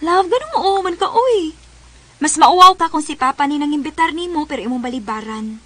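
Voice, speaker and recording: High-pitched, affectionate young Filipina, played by an actress. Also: female voice, lively intonation, fairly quick, a bit bright, dry recording. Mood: teasing. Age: young adult. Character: affectionate young woman, excitable girlfriend and daughter. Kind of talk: radio drama acting in Cebuano.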